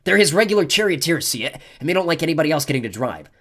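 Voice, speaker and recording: A man's voice: fairly high pitch, sing-song, rapid-fire, sharp tone, voice pushed up, exaggerated voice. A man voicing a tough, angry teenage warrior girl who growls and snaps defensively. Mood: upset, defensive. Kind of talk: audiobook character voice.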